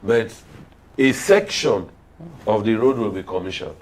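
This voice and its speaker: A man's voice: lowish voice, lively intonation, measured pace, a little mellow. A man with a Nigerian accent speaking as a panel guest on politics, sardonic and full of pointed questions. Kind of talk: political discussion.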